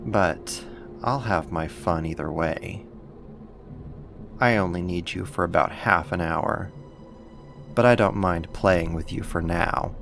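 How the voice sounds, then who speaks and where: A man's voice: low-pitched, vocal fry, heavily acted. A man playing a teasing, possessive, menacing villain kidnapper in an ASMR roleplay, drawing out his taunts with sighs. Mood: menacing, smug. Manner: slow, drawn-out teasing with sighs.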